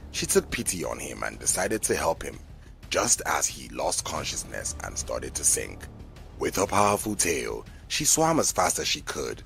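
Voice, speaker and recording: A man narrating a scripted audio drama in a measured, dramatic storybook manner. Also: rumbling low, vocal fry, fairly bright tone. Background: music.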